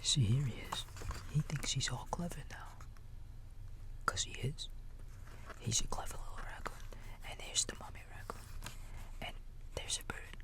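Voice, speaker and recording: A man in a soft breathy whisper, gently and soothingly reading a bedtime story like a big-brother babysitter, with casual asides. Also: medium pitch, measured pace, pauses often.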